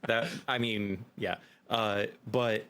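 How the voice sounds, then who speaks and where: A man's voice: lowish voice, fairly even intonation, extremely slow, fairly bright tone, slight background noise. A middle-aged man with an American accent, talking casually as a guest in an interview. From United States. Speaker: podcast guest, game master.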